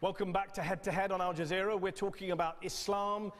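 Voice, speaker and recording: A man's voice: high-pitched, little pitch movement, fairly quick, noisy background. A man hosting a broadcast interview, pressing his guest with pointed, rhetorical questions and cutting in. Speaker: TV interviewer host. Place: debating hall with audience. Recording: long hall reverb.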